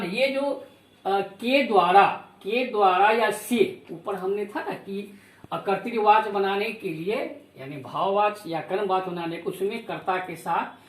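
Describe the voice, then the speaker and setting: Lady teacher explaining step by step, repeats key bits, medium pitch, roomy echo. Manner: didactic, repeats key phrases for emphasis. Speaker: school teacher giving a Hindi grammar lesson. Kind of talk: instructional lesson, explaining rules with examples. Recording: echoey room.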